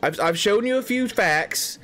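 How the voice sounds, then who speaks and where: Lively, rambling thirty-year-old man. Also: sits high, measured pace, soft background music. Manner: rambling and emphatic, with restarts, slang and swearing. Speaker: gaming video creator. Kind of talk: casual opinion vlog.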